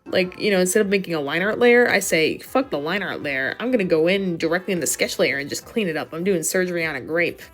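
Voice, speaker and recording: A young woman with a scratchy, hoarse voice rambling casually as she draws, swearing and muttering to herself. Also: on the low side, animated, fast talker, hardly any pauses, faint music behind. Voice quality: scratchy, vocal fry. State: voice giving out, hoarse. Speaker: art vlogger. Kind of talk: rambling casual commentary while drawing.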